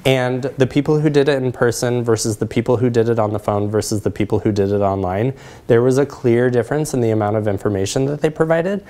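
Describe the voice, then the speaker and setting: Guy around 40 giving a talk in a lecture hall, medium pitch, thoughtful, checks in w the audience. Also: fast talker, warm tone. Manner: reflective, asks check-in questions. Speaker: presenter, social work researcher. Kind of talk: conference talk with audience discussion.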